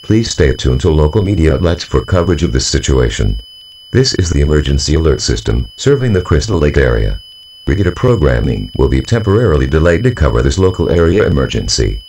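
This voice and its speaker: A man's voice: a bass voice, very animated, a little fast, a little mellow, a little hiss or hum. A man voicing a formal, stern official announcer reading a scripted emergency alert broadcast. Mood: stern, urgent.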